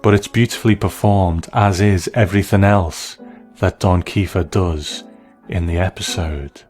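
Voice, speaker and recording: A man with a low voice delivers scripted commentary as a host. He is measured and appreciative in his analysis, with faint music underneath. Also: fairly even intonation, darkish tone. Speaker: host of a TV episode commentary show.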